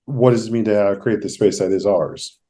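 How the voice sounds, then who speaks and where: A man hosting an interview podcast in a conversational, reflective way, with measured and somewhat wordy phrasing. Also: on the low side, warm tone. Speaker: podcast host, therapist.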